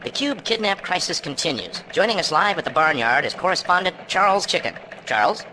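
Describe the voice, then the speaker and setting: An actor playing a melodramatic chicken news anchor in a game cutscene, with earnest mock-serious delivery. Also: male voice, high-pitched, lively intonation, brisk, bright, forceful tone, slight background noise, rough recording. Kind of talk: parody newscast delivery. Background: music.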